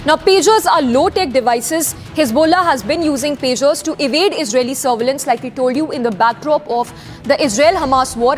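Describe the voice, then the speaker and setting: A woman with an Indian accent reading broadcast news as an anchor. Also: high-pitched.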